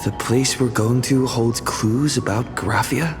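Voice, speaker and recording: A man plays a composed male game character with a medium-pitched voice. He is matter-of-fact, with music clearly audible behind him. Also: measured pace, lightly acted. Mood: calm, inquiring. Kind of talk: video game voice acting.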